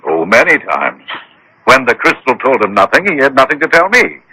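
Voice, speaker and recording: An actor playing an anxious, credulous, well-mannered wealthy client in a vintage radio mystery, speaking earnestly and formally. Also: male voice, mid-range voice, very animated, moderate pace, pushed and bright, breathy edge, heavily acted, low-quality recording. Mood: earnest, awed. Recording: old radio drama broadcast.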